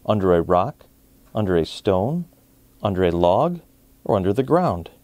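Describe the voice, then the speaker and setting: An American man in his forties reading a storybook aloud to children, with clear enunciation and expressive phrasing. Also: low-pitched, moderate pace, no echo. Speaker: children's story time host.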